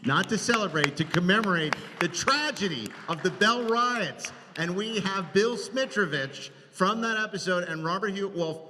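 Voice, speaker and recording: A man moderating a live panel, brisk and directive as he runs questions. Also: high-pitched, expressive, pushed and bright, slight background noise. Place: large hall at a live convention panel. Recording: echoey hall with long reverb.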